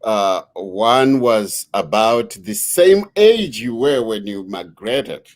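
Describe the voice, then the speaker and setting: A middle-aged man hosting an interview, a father of three, speaking deliberately with frequent fillers as he frames his questions. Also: fairly low pitch, expressive. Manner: slow, deliberate, with many fillers. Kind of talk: conversational interview.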